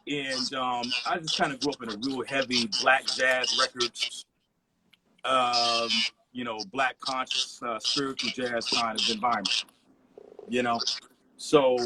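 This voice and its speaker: Laid-back man with noisy livestream audio. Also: medium pitch. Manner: laid-back, rambling, many "you know" fillers. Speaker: resident DJ guest. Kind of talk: casual storytelling interview. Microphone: video call.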